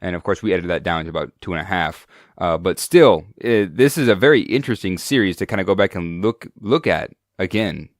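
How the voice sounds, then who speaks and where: A man's voice: low-pitched, animated, a little fast, no room sound, clean studio sound. A man co-hosting a podcast in casual chat while sick with a cold, speaking loosely with many fillers. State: sick with a cold, voice deeper than usual. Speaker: podcast co-host.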